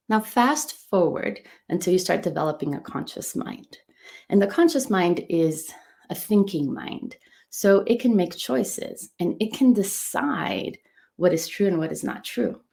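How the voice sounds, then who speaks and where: A woman's voice: fairly low pitch, gravelly, a little mellow. A middle-aged woman whose first language is Portuguese, teaching an enthusiastic motivational lesson as a coach, stressing points by repeating words. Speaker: coach and run club business owner.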